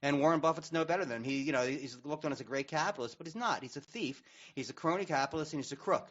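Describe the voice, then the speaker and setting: This is a man with a somewhat high voice on a dull-sounding radio stream. He talks politics as a panelist, argumentative and sarcastic, pressing his opinions in a conversational way. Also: very fast, a bit bright. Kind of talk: opinionated talk radio discussion.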